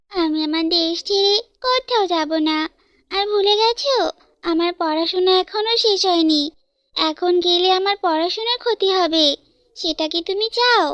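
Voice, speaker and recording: Cartoon casting: woman plays young female bird, very high voice, anxious and lovestruck, pleading delivery, dull lo-fi sound with faint music. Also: moderate pace, a bit bright, no room sound. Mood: protesting. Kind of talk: cartoon fairytale dialogue.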